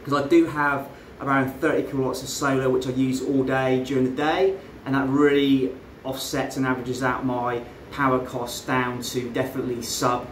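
A man's voice: mid-range voice, moderate pace. Australian man in an echoey rig room. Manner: relaxed, chatty, frequent fillers and restarts. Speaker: crypto mining channel host. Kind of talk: casual walkthrough vlog. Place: home room full of mining rigs, indoors. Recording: echoey room with faint fan hum.

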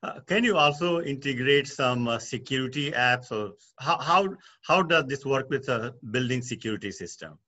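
Older man interviewer, bright halting questions. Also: medium pitch, animated. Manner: halting questions, repeated words and fillers. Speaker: interviewer, real estate news host. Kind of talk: video call interview, asking questions. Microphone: video call webcam mic. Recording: dull video call audio.

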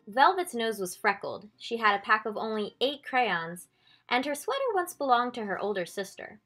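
A woman reading a children's picture book aloud expressively, drawing out key words with deliberate emphasis. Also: on the high side, sing-song, pushed and bright, faint music behind. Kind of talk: expressive storybook read-aloud.

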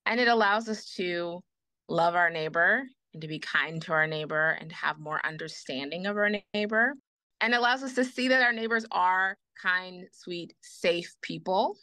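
A woman's voice: on the low side, measured pace, bright, forceful tone, no echo. A woman guest on a podcast, speaking with passion and repeating phrases for emphasis. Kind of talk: earnest advocacy in conversation.

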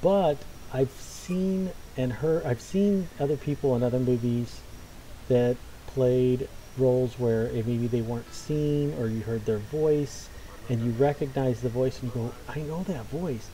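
Very bright-voiced man, rambling. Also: medium pitch, measured pace, a little hiss or hum, poor audio. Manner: rambling, hesitant. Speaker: gaming video commentator. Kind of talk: casual unscripted commentary over gameplay. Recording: faint game ambience behind.

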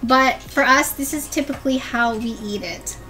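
A woman's voice: high-pitched, moderate pace, sharp tone, faint music behind. A young woman hosting a cooking video from her home kitchen, explaining each step to her viewers in an upbeat, instructive way. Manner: upbeat, instructive, addresses viewers as you guys. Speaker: cooking vlogger. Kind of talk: cooking tutorial narration.

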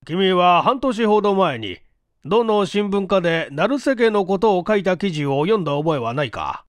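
Man voicing a wry senior investigator, weary, high-pitched, very animated intonation, commanding, audiobook drama. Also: moderate pace, no echo, clean studio sound. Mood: thoughtful.

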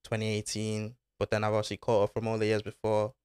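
A man's voice: on the low side, monotone, fast talker, a little mellow, clear, resonant tone, no room sound, studio quality. A young man, talking casually as a podcast guest, laid-back and full of slang. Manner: laid-back slang-filled talk, says bro and innit. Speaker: guest footballer. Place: small studio with foam panels. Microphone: desk mic on arm.

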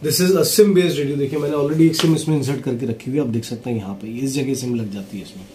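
A man's voice: medium pitch, steady tone, a little fast, darkish tone. A man, giving a casual, explanatory product demonstration and slipping in English phrases. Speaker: product reviewer and seller. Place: small indoor office. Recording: roomy echo, faint background noise.

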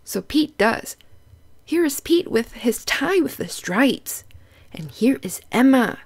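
Female children's read-aloud narrator, unhurried and animated, simple teaching phrases, questions to young listeners. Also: high-pitched, slightly rough.

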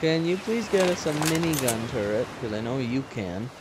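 Calm man in game chat. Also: medium pitch, moderate pace. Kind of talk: casual gaming chat. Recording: noisy game audio.

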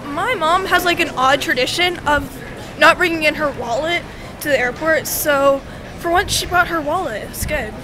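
A young teenage girl, about thirteen, chatting casually and excitably as a vlogger into a handheld camera. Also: high-pitched, moderate pace, a crowd in the background. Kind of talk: casual vlog chat. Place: busy airport terminal, later a car. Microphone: handheld camera at arm's length. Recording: noisy handheld camera audio, bustling airport.

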